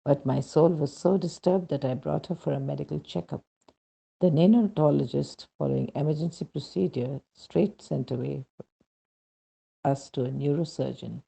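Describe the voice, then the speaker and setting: Husky, gravelly narrator with a dark tone. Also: medium pitch, normal speed, rough recording. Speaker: reader of a devotee's testimony. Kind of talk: scripted narration of a devotional testimony.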